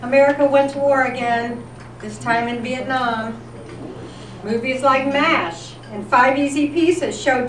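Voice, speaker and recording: A high-pitched woman reads a scripted, nostalgic history as an event presenter. She sounds distant from the mic, in a reverberant room. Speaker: presenter at a volunteer appreciation event. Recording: echoey room, distant mic, background noise.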